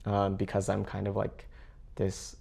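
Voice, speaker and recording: Young man, low and gravelly, casual and hesitant interview talk. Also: monotone, a little mellow. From Seattle area, United States. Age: young adult. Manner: halting, many fillers and restarts. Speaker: interview guest. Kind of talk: casual conversation.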